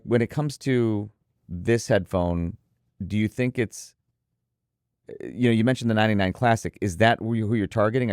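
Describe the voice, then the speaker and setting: A man's voice: lowish voice, darkish tone, dry recording, clean studio sound. A man hosting a casual interview, thinking aloud with frequent restarts and hedges.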